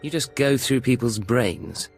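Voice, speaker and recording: An actor playing a curt, sardonic imperial secret agent in a video game, with a dry, dismissive and commanding way of talking. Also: male voice, mid-range voice, animated, measured pace, creaky, faint music behind. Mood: dry, skeptical.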